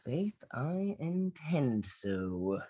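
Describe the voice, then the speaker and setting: A man's voice: a little high, very animated, drawn-out words, warm tone, no room sound, dull audio, exaggerated voice. A man playing a cajoling lieutenant and lover in a Shakespearean radio drama.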